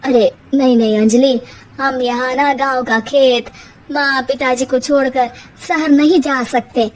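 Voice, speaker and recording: A woman's voice: high voice, fairly even intonation, measured pace, poor audio. A woman voicing a mild young village husband in a cartoon story, soothing and placating his wife.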